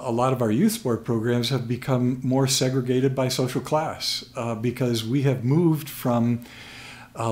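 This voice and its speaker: An older American man speaks as an expert guest in an interview, at a mid-range pitch. He explains in measured phrases with small pauses, into a desk microphone in a small room. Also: darkish tone. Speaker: guest expert, sports sociologist. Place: small plain room at a table, indoors. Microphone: desk microphone on stand.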